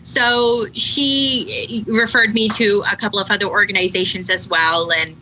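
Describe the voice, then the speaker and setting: Bright-voiced American woman, candid and reflective. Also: mid-range voice, fairly even intonation, low-quality recording. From Pakistan, raised in Atlanta. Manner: candid, reflective, many fillers and pauses. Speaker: guest, attorney, nonprofit founder. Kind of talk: conversational interview answers. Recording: faint background noise.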